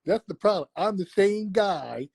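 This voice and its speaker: Man, 50s, easygoing slangy guest, husky, slightly high voice. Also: lively intonation, unhurried, darkish tone, no room sound, studio quality. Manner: laid-back, slangy, self-deprecating humor. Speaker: guest, longtime friend. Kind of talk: casual conversation.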